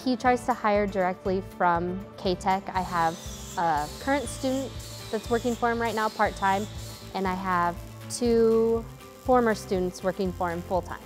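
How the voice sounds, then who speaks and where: A woman's voice: mid-range voice, unhurried, music in the background. A woman in her thirties, a welding instructor, speaking earnestly and with enthusiasm in a documentary interview. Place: indoor welding classroom.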